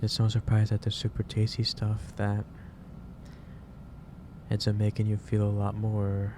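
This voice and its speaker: A man doing a soft ASMR roleplay as a gentle, caring office boss, speaking hesitantly with pauses mid-sentence as he gives advice. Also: on the low side, completely flat delivery. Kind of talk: soft ASMR roleplay, improvised monologue to the listener. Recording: clearly noisy background ambience.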